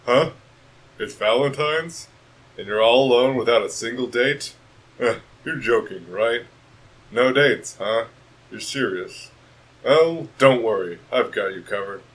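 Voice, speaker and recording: A man's voice: mid-range voice, lively intonation, a little slow, a bit bright, low-quality recording. A man voicing a teasing male prankster who reacts with mock surprise.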